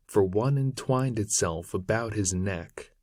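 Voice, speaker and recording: A man narrating an old epic poem aloud in a solemn, measured, theatrical manner with archaic phrasing. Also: on the low side, a little mellow, no echo, clean studio sound. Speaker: narrator reading poetry aloud. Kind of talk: dramatic recitation of an epic poem in archaic English.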